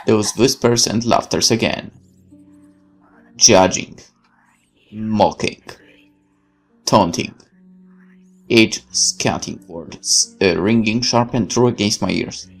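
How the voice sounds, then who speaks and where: A man's voice: on the low side, slow, gravelly, pauses often, soft background music, low-quality recording. A man reading horror fiction aloud in a theatrical, intense way, as a gaming host narrating a visual novel. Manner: theatrical, intense, occasional stumbles over words. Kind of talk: dramatic scripted horror narration.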